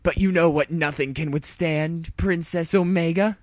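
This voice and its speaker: A man's voice: on the high side, steady tone, normal speed, no echo, studio quality, voice pushed up, natural voice. A man voicing a brash, cocky female pony secret agent with bombastic, melodramatic delivery, in a fan story reading. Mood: triumphant.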